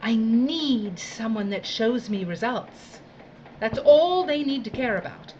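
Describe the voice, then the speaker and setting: Imperious, swooping, high-voiced villainous boss. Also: female voice, expressive, slow, warm tone, muffled recording, slight background noise. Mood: toying, condescending. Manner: condescending, mock-sweet, drawling and imperious. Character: domineering, theatrical, sadistic female boss of dystopian work crews. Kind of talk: theatrical audio drama acting.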